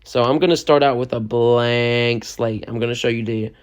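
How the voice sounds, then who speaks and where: A young man, a music producer, giving a loose, chatty step-by-step software lesson and often checking in with the listener. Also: mid-range voice, fairly even intonation, normal speed, clear, resonant tone. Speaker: music producer teaching a tutorial. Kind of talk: casual step-by-step software tutorial.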